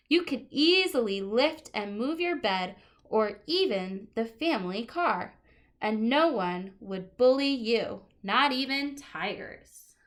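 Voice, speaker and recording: A woman's voice: fairly high pitch, fairly bright tone. A woman teacher reading a children's nonfiction picture book aloud, expressively stressing key words and adding short explanatory asides.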